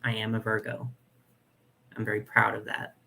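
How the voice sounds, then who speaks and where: A young man with a nasal voice hosting a casual live chat, reading comments and talking in a chatty, soft way with lots of fillers. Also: lowish voice, completely flat delivery, normal speed, creaky. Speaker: doll collector channel host.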